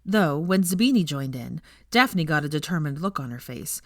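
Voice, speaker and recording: A woman narrator reads fiction aloud. Her voice sits low and moves expressively through each sentence. The recording is close and dry, with no room sound. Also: lively intonation, normal speed, no room sound. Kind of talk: expressive scripted story narration.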